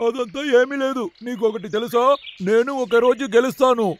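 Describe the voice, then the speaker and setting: A man voicing an eager, dreamy younger-brother bear in an animated cartoon dub, with a childlike way of talking. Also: very high-pitched, fairly quick, lo-fi sound, slight background noise. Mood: eager, curious. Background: cartoon music.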